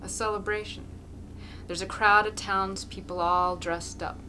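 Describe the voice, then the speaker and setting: A woman narrates a literary story with amateur theatrical flair. Her voice is bright and forceful at a medium pitch, and there is faint noise in the recording. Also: fairly even intonation, a little slow, poor audio. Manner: dramatic, hammy. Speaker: amateur reader.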